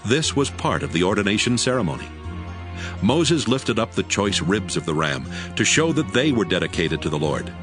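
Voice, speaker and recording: A man reading scripted scripture narration for an audiobook in a measured, solemn way. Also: on the low side, sing-song. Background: music.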